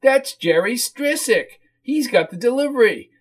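A man's voice: very high voice, expressive, measured pace, pitched above the performer's own voice, heavily acted. A man voicing a whiny, chatty woman in her fifties with a brash, playful, familiar manner in a story reading. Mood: brisk, eager.

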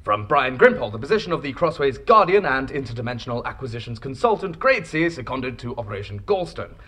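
Chirpy, officious company bureaucrat, swooping pitch. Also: male voice, very animated, a bit bright, breathy edge. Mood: officious, businesslike. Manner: jargon-laden, brisk, gushing. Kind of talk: comedy audio drama acting.

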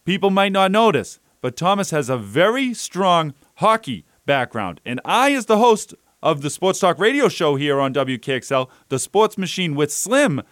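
A man's voice: fairly high pitch, big pitch swings, moderate pace, hardly any pauses, fairly bright tone, dry recording, clean studio sound. A man hosting a local radio talk show, chatty and upbeat, rambling a little as he talks.

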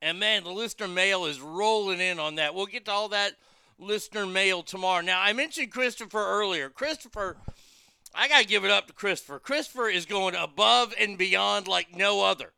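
Man, high-pitched bright talk host, irreverent and crude, dry close mic. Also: moderate pace, creaky, clear, resonant tone, dry recording. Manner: loose, irreverent, crude, reads listener messages aloud. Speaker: radio show host. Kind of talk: casual talk radio banter.

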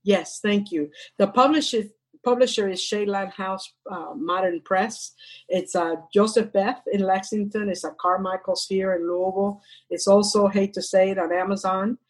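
Older Cuban-born woman, husky voice. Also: mid-range voice, steady tone, rough recording. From Cuba. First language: Spanish. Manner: earnest, measured recitation with repeated refrains. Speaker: poet and guest. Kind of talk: interview and poetry reading.

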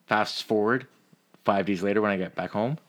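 A man with a fairly low voice talks casually as a sports podcast co-host. He tells stories in loose, sweary language and acts out both sides of conversations. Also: normal speed. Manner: profane, animated storyteller who acts out dialogue. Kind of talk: casual chat, storytelling.

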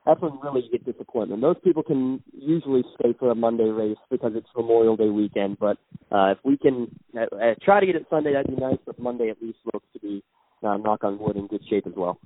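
A man's voice: medium pitch, quick pace. A man calling in as a guest, chatting in a hesitant way with many fillers. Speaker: guest, racing fan. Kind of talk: casual phone interview. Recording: tinny phone line.

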